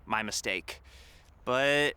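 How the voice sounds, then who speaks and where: A man playing a mayor's put-upon, pedantic assistant in a comedy audio drama, hesitant and mumbling with quiet sarcasm. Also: medium pitch, extremely slow, clean tone. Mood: muttering, sheepish.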